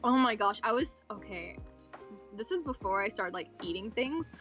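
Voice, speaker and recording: A woman's voice: mid-range voice, lively intonation, normal speed, fairly bright tone, faint music behind. A woman guest in a casual chat, rambling along and stacking up fillers like "okay" as she explains herself.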